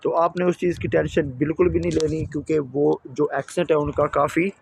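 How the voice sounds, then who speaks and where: A man's voice: a little high, little pitch movement, a little fast, gravelly, a little mellow, a little hiss or hum. Young Pakistani man vlogging outdoors. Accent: Pakistani Punjabi. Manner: repetitive, reassuring, brotherly address to viewers. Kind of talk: casual advice vlog. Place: outdoors in a residential street.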